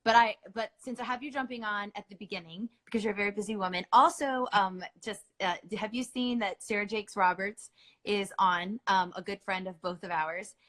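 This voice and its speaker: A woman's voice: mid-range voice, fairly even intonation, moderate pace, a bit bright. A middle-aged woman hosting a book club, talking in a chatty, playful way with lots of fillers. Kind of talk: casual chat.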